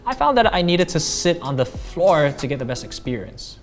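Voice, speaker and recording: A man's voice: on the high side, sing-song, normal speed, loud music behind the voice. A man in his thirties with a Malaysian accent, narrating a scripted product review as a tech reviewer.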